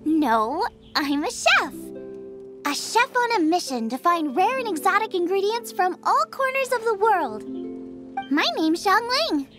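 An eager young girl chef from a video game, with a very high voice that bounces around in pitch. She is cheerful, food-obsessed and breezy, with music playing behind her. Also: sing-song, a little slow, a bit bright, exaggerated voice. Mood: bright, curious. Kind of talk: scripted game dialogue.

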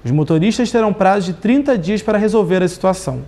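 A man in his thirties reading the news as anchor in a studio, with a steady, formal delivery. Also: high-pitched, fast. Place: TV studio desk.

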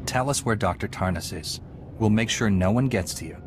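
An actor playing a calm, commanding Jedi knight in a video game, firm and controlled. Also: male voice, on the low side, a little fast, background noise, lightly acted. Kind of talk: video game character acting.

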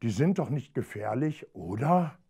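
A storyteller voicing a naive young male puppy in a children's storybook, speaking in a puzzled, low tone. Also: sing-song, unhurried, warm tone, husky, exaggerated voice. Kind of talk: storybook character voice.

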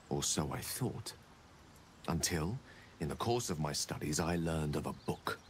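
An actor playing an eloquent, self-deprecating wizard in a video game, speaking in a theatrical, articulate way. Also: male voice, sits low, slight background noise, exaggerated voice. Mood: wistful. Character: wizard man, eloquent, wry, melancholy. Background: soft game music.

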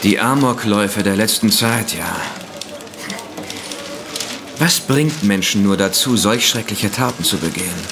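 An actor playing a thoughtful, collegial newspaperman in a German audio drama, with a formal, deliberate manner. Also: on the low side, noisy background. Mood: pensive.

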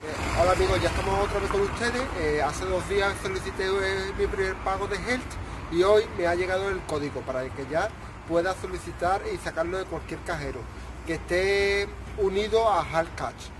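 High-voiced man on a noisy street. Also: normal speed. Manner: hesitant, with "eh" fillers. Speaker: YouTuber. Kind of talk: casual vlog. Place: city street by a cash machine, outdoors. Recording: phone vlog with loud street traffic.

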